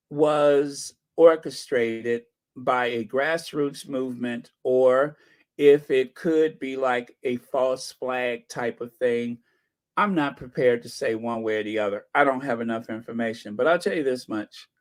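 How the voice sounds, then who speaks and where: Older guy w a scratchy hoarse voice, talks slow, sarcastic host chatting about news. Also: mid-range voice. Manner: wry, sarcastic asides. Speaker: channel host. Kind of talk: casual commentary, reading viewer comments.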